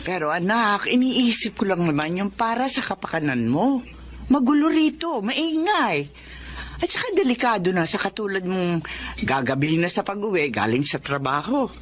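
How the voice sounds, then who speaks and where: A woman plays a loving mother who frets over her grown child in a Filipino radio drama. Her voice is mid-pitched, with faint ambient noise behind it. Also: lively intonation, moderate pace, close to an everyday voice. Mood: caring, worried.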